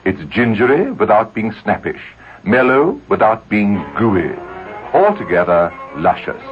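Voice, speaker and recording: A man's voice: on the low side, big pitch swings, unhurried, lo-fi sound, faint music behind. A man reading a radio commercial in a wry, playful way. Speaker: commercial announcer. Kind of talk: scripted radio advertisement.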